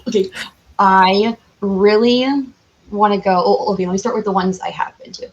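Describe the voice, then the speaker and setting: A woman's voice: mid-range voice, steady tone, normal speed, dull audio. A woman chatting casually as a podcast guest, with an enthusiastic, giggly and informal way of talking. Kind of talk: casual interview chat.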